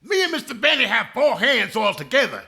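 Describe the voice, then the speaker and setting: A man's voice: very high voice, big pitch swings, brisk, vocal fry, bright, forceful tone, exaggerated voice. A man playing a wry valet who needles his boss with dry, sardonic wisecracks in a radio comedy. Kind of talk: radio comedy recreation acting.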